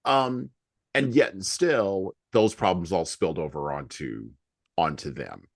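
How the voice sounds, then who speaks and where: A man's voice: low voice, unhurried, gravelly, no room sound, clean studio sound. An American man hosting a conversational book discussion, emphatic, repeating phrases for effect and posing rhetorical questions. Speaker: podcast host.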